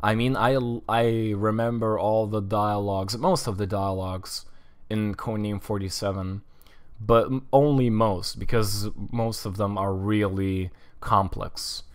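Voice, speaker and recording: A man's voice: fairly low pitch, fairly even intonation, measured pace, clean tone. Rambling young man with a Lithuanian accent. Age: 20s. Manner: rambling, restarts words, many "like" fillers. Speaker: streamer. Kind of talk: casual chat while streaming a game.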